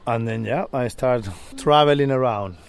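A man from Peru with a Peruvian Spanish accent, a Spanish speaker giving loose, halting casual interview answers. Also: mid-range voice, gravelly, clear, resonant tone. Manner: loose, halting non-native English with laughs. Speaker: whitewater guide and interview guest. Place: rooftop outdoors in an old city. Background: faint outdoor street and bird sounds.

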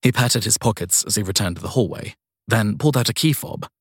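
A man narrates a crime story in a low voice. The sound is slightly husky and very dry, as in a close audiobook reading. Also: fairly quick, no room sound. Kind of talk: scripted narration of crime fiction.

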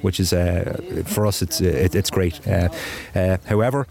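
A man's voice: low-pitched, steady tone, normal speed, vocal fry, a little mellow. A man from a rescue helicopter crew, giving an outdoor interview and often repeating words as he starts sentences. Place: outdoor event with crowd.

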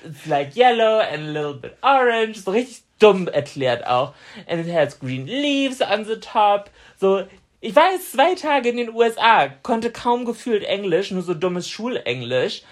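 A woman's voice: medium pitch, a little slow. A woman in her thirties, a podcast co-host, telling an anecdote in casual chat and acting out what she said back then. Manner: animated storyteller, laughs often, acts out her own quotes.

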